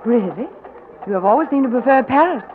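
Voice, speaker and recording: An actress playing a proud young princess who speaks in a cool, dry and reserved way, in 1940s radio acting. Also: female voice, a little high, lively intonation, quick pace, natural voice. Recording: tinny old radio broadcast with hiss.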